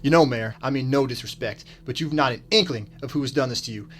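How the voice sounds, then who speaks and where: An actor playing a dogged, wry detective in a radio drama, deliberate and dryly authoritative. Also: male voice, medium pitch, noisy background, close to an everyday voice. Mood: firm, questioning. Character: dogged, wry male detective, firm but polite.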